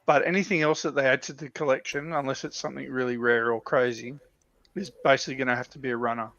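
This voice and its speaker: A man's voice: medium pitch, steady tone, fast, fairly bright tone. Slightly muffled man in casual enthusiast chat. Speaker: livestream panellist. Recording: slightly dull, dry lo-fi audio.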